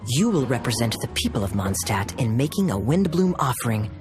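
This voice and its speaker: Man playing a suave, teasing captain with lively intonation. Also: mid-range voice, fairly quick, natural voice. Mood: suave, amused. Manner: smooth-talking, wry, theatrical. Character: suave, teasing young cavalry captain with theatrical charm. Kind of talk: English video game voice acting. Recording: music playing behind the voice.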